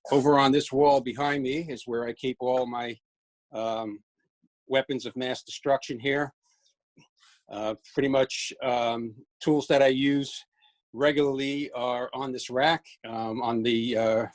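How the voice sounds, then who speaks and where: Male, sixties, New York accent. Woodturner as tour guide: informal, hesitant, wry, medium-pitched voice. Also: steady tone, measured pace. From Brooklyn, New York City. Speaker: woodturner giving a workshop tour. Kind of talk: casual unscripted tour talk. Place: home basement-like workshop, indoors. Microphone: webcam, later handheld phone.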